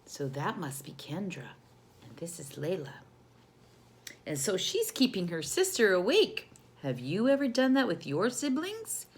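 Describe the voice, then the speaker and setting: A woman reads a storybook to young children at a leisurely pace. Her intonation swings widely, and she is expressive and teacherly, turning to the listeners with questions as she goes. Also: medium pitch, a little slow. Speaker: storyteller reading a picture book to children.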